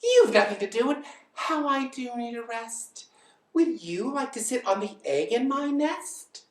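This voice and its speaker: A woman's voice: a little high, sing-song, unhurried, roomy sound, pitched above the performer's own voice. A woman voicing a lazy female bird who whines, wheedles and pleads in an exaggerated way for a children's story. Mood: coaxing.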